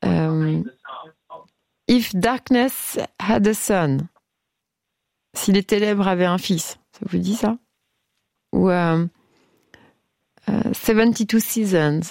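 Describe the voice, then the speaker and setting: Playful woman radio host, gravelly. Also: mid-range voice, slow pace, long pauses, a little mellow, no room sound. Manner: playful, laughs often, hesitant reading of titles. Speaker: late-night radio host. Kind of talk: casual phone-in chat.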